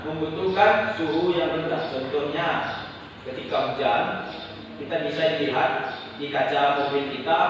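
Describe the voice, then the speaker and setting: A man's voice: fairly high pitch, slight background noise. Young Indonesian man teaching in an echoey classroom. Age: young adult. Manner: explains slowly with frequent "nah" pauses. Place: classroom indoors. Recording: echoey roomy classroom, distant mic, dull.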